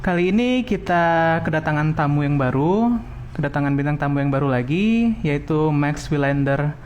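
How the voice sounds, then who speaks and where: A man's voice: on the high side, expressive, normal speed, a little mellow, slight background noise. Giggly young male podcast host. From Medan, Indonesia. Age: 20s. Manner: chatty, laughs often. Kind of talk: casual podcast chat.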